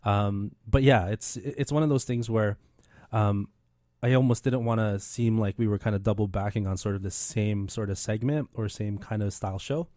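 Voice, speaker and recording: A man's voice: on the low side, normal speed, warm tone, no echo. A man in his thirties hosting a community radio show in a studio, talking casually with many fillers and restarts. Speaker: radio host.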